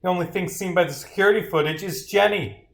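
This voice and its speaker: A man voicing a scornful, combative courtroom adversary from a mystery visual novel, forceful and accusatory. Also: high-pitched, quick pace, vocal fry, voice pushed up. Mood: scornful, insistent. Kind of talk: dramatic read-aloud of visual novel dialogue.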